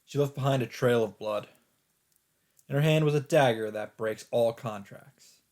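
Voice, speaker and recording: A man reading a visual novel aloud as a let's play host, in dramatic, expressive narration with deliberate phrasing and pauses. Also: medium pitch. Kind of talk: dramatic narration read aloud from on-screen text. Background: background music.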